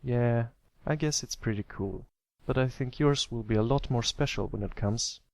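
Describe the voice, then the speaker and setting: A kindly older brother pony, voiced by a man reading aloud, talks in a medium-pitched, relaxed voice. He is encouraging and easygoing, with a dry, close sound. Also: normal speed, gravelly, no room sound, lightly acted.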